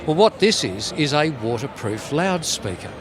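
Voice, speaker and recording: A man's voice: fairly high pitch, sing-song, normal speed, crowd noise. A man giving an informal, playful product demonstration as a company representative at a trade show. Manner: tongue-in-cheek, playful.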